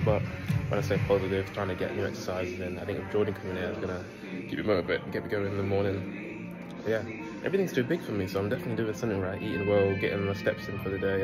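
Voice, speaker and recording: A man's voice: low-pitched, low-quality recording. Chatty young man vlogging from his car. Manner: relaxed, chatty, many "like" fillers. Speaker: footballer vlogger. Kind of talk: casual vlog talk to camera. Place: inside a parked car. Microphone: phone camera at arm's length.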